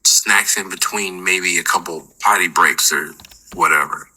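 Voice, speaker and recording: A middle-aged man telling other gamers about aging, in rough, humorous, complaining casual talk. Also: low-pitched, fairly even intonation, a little slow, sharp tone, low-quality recording.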